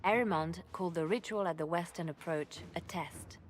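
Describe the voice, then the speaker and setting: An actress playing a composed, sober spymaster giving a grave, measured briefing in a fantasy video game. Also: female voice, fairly low pitch, faint music behind, lightly acted. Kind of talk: dramatic video game acting.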